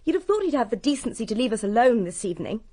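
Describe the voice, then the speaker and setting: A young upper-class woman in a radio drama. Her voice sits fairly high, blunt and brittle, with a strained manner. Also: sing-song, rapid-fire, warm tone. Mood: fed up.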